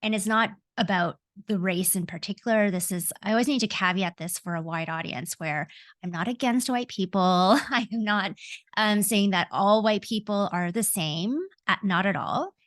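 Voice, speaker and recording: A woman's voice: mid-range voice, normal speed, a bit bright, no room sound. A woman in her forties with a Canadian accent, a coach speaking as a podcast guest in an earnest, reflective way with frequent ums and self-corrections. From Hong Kong, raised in Canada. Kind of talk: podcast interview.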